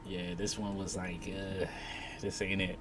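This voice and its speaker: Lowish-voiced man, casual panelist. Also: level tone, unhurried, fairly bright tone, slight background noise. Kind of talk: casual chat. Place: convention panel room.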